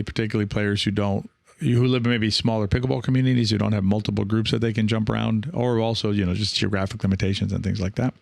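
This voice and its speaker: A man hosting a solo podcast in a reflective, halting way, often correcting himself and checking in with the listener. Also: fairly low pitch, creaky, dry recording, studio quality. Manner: halting, self-correcting, often seeking agreement with "right?". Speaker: podcast host.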